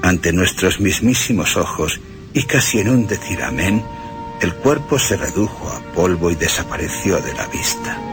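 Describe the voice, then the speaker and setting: A man's voice: sits low, animated, normal speed, music in the background. A man presenting a scripted radio narration about cinema and literature in a dramatic storytelling way. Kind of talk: scripted film-history narration with dramatic readings.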